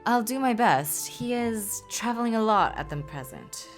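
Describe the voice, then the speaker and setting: A woman's voice: on the high side, lively intonation, normal speed, faint music behind, pitched above the performer's own voice, close to an everyday voice. A woman voicing an earnest, genteel young Regency lady with polite, formal period speech, for a game. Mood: eager, polite.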